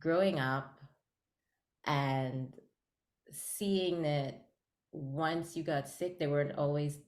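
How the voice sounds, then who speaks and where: A woman from Guyana talks as a guest in a casual interview, cheerful and quick to laugh. Her voice sits low for a woman, and the recording carries a noticeable room echo. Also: steady tone, a little mellow. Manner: cheerful, laughs between phrases. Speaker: podcast founder, guest.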